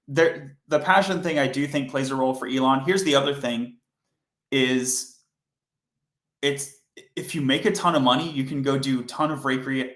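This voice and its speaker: A man hosting a coding livestream, chatting casually and rambling with self-corrections, his voice hoarse and fading. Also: medium pitch, fairly bright tone, echoey room.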